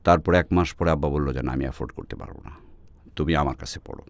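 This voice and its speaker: A man's voice: very deep voice, quick pace, no echo. A man from Bangladesh with a Bangladeshi accent, telling personal stories as an interview guest and often switching into English phrases. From Netrokona, Bangladesh.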